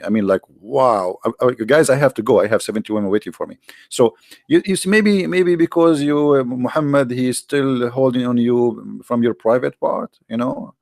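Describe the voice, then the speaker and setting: A man hosts a live online debate in a gravelly voice. He teases and questions his opponent in a sarcastic, mocking way. The sound is close and dry. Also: fairly low pitch, a little mellow, no room sound. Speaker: debate host.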